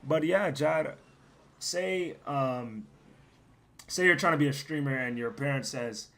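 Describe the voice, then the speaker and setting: Young man, hoarse, worn-out voice, bright edge, profane gaming chatter, loud outbursts. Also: fairly high pitch, unhurried. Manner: profane, rambling, bursts into loud yelling. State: hoarse, losing his voice. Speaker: gaming streamer. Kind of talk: casual live gameplay commentary.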